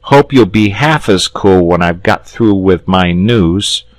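A man reading a story, voicing an eager, excitable young boy in a raised, pleading voice. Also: deep voice, slow, dry recording, pitched above the performer's own voice. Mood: excited.

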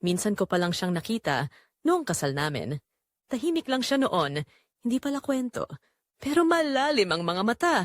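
Lonely young Filipina wife played by a woman, confessional audio drama narration, earnest, expressive melody, dry studio sound. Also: fairly high pitch, moderate pace, no echo. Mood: uneasy, reflective. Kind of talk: scripted first-person audio drama narration.

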